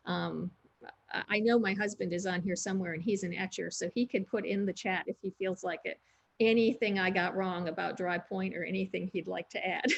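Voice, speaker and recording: A woman's voice: fairly low pitch, steady tone, a little fast, gravelly, husky, dull audio. A woman co-hosting a museum talk over a video call, explaining art techniques in a chatty, thinking-aloud way. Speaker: museum co-host. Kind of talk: conversational art discussion.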